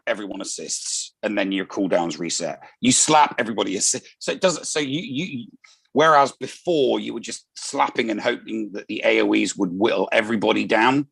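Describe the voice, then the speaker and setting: A man's voice: fairly low pitch, creaky, slightly rough, no room sound. A man talking casually on a live panel stream, hesitant, with many fillers and restarts.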